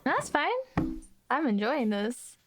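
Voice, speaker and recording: A woman's voice: on the high side, animated, takes it slowly, music in the background. A woman chatting casually as a player in an actual-play tabletop game. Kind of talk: casual improvised game chat.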